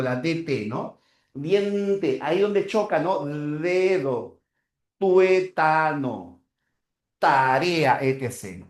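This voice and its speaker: A man with a Peruvian accent lecturing a class, repeating key terms and checking in with short tag questions. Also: a little high. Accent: Peruvian Spanish. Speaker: teacher. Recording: roomy echo.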